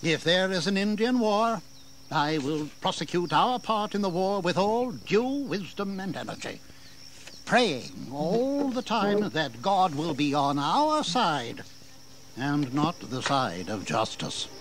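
High-voiced, indignant colonial governor. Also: male voice, sing-song, slow, exaggerated voice. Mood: weary resolve. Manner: declamatory, sarcastic, aristocratic. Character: sardonic, indignant English colonial governor, proud and dutiful. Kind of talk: historical audio drama acting. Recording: faintly noisy 1980s drama recording.

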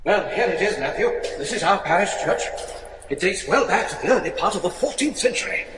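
An actor playing a grave, resolute English gentleman in a gothic horror radio play, measured, courteous and theatrical. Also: sits high, lively intonation, long reverb tail, slight background noise. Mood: genial, knowing.

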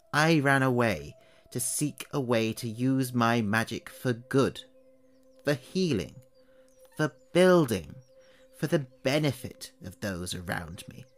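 Man playing old witch, aggrieved and wary, slow chatty complaint, mid-pitched voice. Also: a bit bright. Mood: brooding. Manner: slow, chatty complaining monologue rising to anger. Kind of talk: audio horror story reading.

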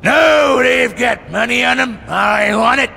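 A man voicing a bossy, hot-tempered gangster ventriloquist dummy in a high, pinched, gravelly bark, on a noisy, reverberant recording. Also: measured pace, pushed and bright, raised voice, heavily acted. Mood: angry, demanding. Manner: barking, bullying.

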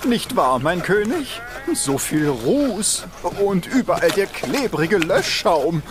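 Fussy court toady for children's audio drama, snooty, fawning, theatrically varied pitch. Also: male voice, sing-song, slow, noisy background, heavily acted. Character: fussy, obsequious male royal servant.

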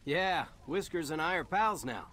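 A flippant young soldier hero from a video game. His voice is on the higher side and very lively, with casual, wry phrasing. Noticeable background noise and clicks sit behind it. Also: normal speed. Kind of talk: video game cutscene acting.

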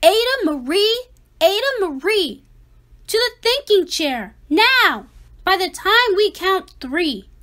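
A woman's voice: very high-pitched, sing-song, slow pace, pushed and bright, voice pushed up, full character voice. A woman voicing frazzled, angry storybook parents scolding their young daughter in a stern, emphatic, commanding way.

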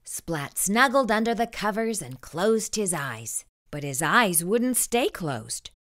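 A woman reading a children's storybook aloud expressively, with deliberate, dramatic pauses. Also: medium pitch, big pitch swings, fairly bright tone. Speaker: storytime host and narrator.

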